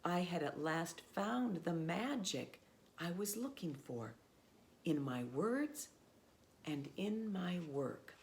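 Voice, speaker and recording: A woman pastor reads a story to children in a low voice. She takes her time and phrases things simply and expressively. There is noticeable noise behind her. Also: very animated, takes it slowly. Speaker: pastor reading a children's message. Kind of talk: storybook read-aloud, addressed to children.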